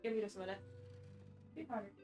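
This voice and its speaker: A woman's voice: mid-range voice, lively intonation, brisk, muffled recording. A woman doing casual live video game commentary, thinking aloud in a playful way. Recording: faint game music behind the voice. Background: game soundtrack.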